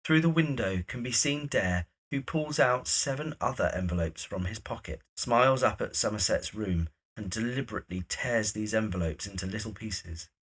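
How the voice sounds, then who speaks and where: Man narrating a drama, slightly husky. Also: lowish voice, moderate pace, hardly any pauses, a bit bright. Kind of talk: scripted narration of stage directions.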